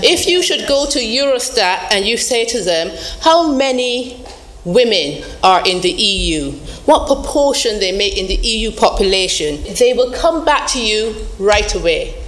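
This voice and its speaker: A woman's voice: a little high, expressive, unhurried, fairly bright tone. A woman giving an emphatic public speech at a conference. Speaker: conference speaker. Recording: echoey hall, faint noise and crowd.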